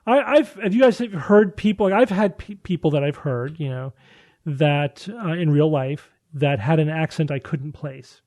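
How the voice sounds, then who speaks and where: Casting: podcast host, man, Southwestern Connecticut accent, rambling chatty delivery, slightly high voice, dry close mic. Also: moderate pace, a little mellow, no room sound. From Connecticut, USA. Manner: rambling, chatty, restarts often. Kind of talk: casual panel chat.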